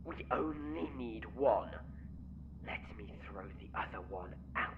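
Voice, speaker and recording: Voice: mid-range voice, animated, a little slow, muffled recording, background noise. An actor playing a vengeful, bloodthirsty henchman in an audio drama who talks in cold, seething whispers.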